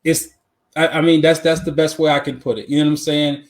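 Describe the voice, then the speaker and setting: Higher-pitched man, casual podcast co-host. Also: little pitch movement, fairly quick. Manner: informal, opinionated, repeats phrases for emphasis. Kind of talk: casual live podcast chat. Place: live podcast.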